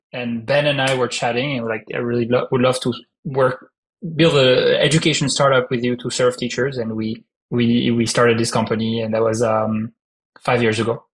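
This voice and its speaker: A man's voice: medium pitch, little pitch movement, normal speed, rough recording. A man in his thirties from France with a heavy French accent, a startup founder speaking in an interview, hesitant with many fillers. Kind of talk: casual interview talk.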